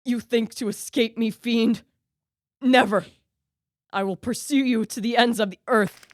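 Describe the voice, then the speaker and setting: A woman's voice: on the high side, fairly even intonation, measured pace, natural voice. A woman playing a dying, vengeful male scientist in a radio play, declaiming with solemn, melodramatic anguish. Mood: furious defiance. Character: dying, vengeful male scientist obsessed with hunting his creation. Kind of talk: theatrical radio play acting.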